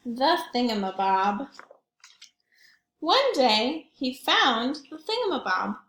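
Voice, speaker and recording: A woman's voice: high-pitched, big pitch swings, slow, fairly bright tone, reverberant. A woman reading picture books aloud to young children, expressively and with questions for her listeners. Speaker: children's read-aloud host, teacher or librarian.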